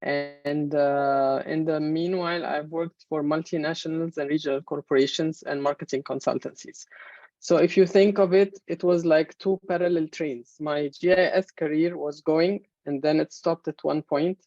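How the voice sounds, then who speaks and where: A woman in her thirties from Egypt, a native Arabic speaker, giving a measured presentation in an online webinar with many fillers. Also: low-pitched, fairly even intonation, dark tone, no room sound. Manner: measured, explanatory, frequent fillers. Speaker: main speaker. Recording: dull, muffled webinar audio.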